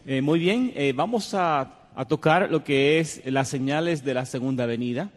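Male TV panel host, courteous, halting, long hall reverb. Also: on the high side. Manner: hesitant, many fillers, courteous. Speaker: program host and panel moderator. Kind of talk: religious TV panel discussion.

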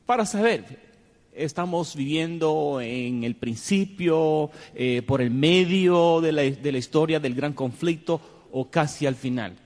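Male TV panel host, courteous, halting, long hall reverb. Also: fairly high pitch. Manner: hesitant, many fillers, courteous. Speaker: program host and panel moderator. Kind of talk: religious TV panel discussion.

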